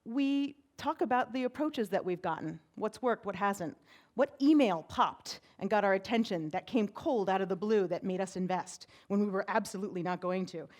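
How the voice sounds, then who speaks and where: A woman with a big, carrying voice addresses a conference audience from the stage. Her delivery is energetic and conversational, with frequent "right?" checks to keep listeners with her. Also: medium pitch, normal speed. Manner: energetic, chatty, uses many "right?" tags. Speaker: conference speaker, PR firm president. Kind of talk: conference talk.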